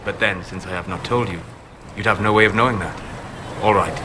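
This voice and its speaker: An actor playing a calm, scholarly elven apostate mage in a video game, speaking in measured, formal, reflective phrases. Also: male voice, low voice, background noise, lightly acted.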